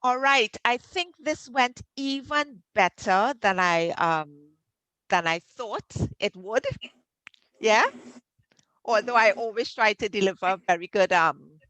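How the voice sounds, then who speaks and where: A woman's voice: expressive, slow, no echo, rough recording. A Trinidadian woman hosting an online health workshop over a video call, encouraging and persuasive, with frequent ums. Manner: encouraging, warm sales pitch with many ums. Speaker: workshop host, health coach.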